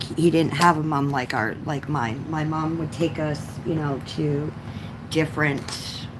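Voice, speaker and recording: A middle-aged woman from Canada chatting casually on a livestream in a halting, rambling way, often clearing her throat. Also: low-pitched, background noise. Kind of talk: casual rambling chat while coloring.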